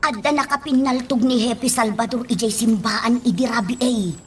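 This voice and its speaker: A woman's voice: fairly high pitch, normal speed, slight background noise, close to an everyday voice. An actress with an Ilocano Filipino accent playing a gossipy, deferential neighbor woman in a radio drama. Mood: concerned, gossipy.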